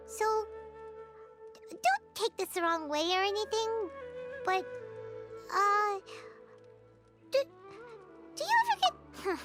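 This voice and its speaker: A woman's voice: very high-pitched, full character voice. A woman voicing a tiny, chatty, cheerful female companion in a game cutscene, who talks about herself in the third person. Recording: game audio with music behind.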